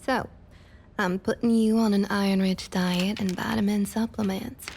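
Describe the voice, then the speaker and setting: A woman playing a domineering, patronizing vampire councilwoman with a Southern US accent and a husky, breathy voice, in an ASMR roleplay. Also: mid-range voice, fairly even intonation, a little mellow, slight background noise, natural voice. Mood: businesslike, smooth. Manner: condescending, drawling pet names, slow and deliberate.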